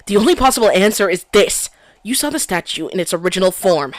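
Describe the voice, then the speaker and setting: A woman's voice: medium pitch, lively intonation, fast talker, a little hiss or hum, lightly acted. A woman voicing an earnest young male defense attorney who presses a witness. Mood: pressing. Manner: pressing, accusing.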